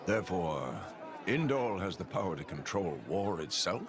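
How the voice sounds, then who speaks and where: Deep, stoic warrior. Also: male voice, sing-song, a crowd in the background. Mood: grave, calm. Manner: measured, reserved. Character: stoic, grave male warrior companion. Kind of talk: video game cutscene acting.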